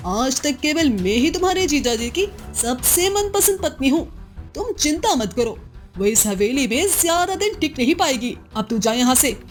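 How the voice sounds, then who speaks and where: A woman playing a proud, jealous and scheming chieftain's wife in a cartoon story, haughty and scornful in manner. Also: sits high. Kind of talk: Hindi moral-story cartoon dialogue.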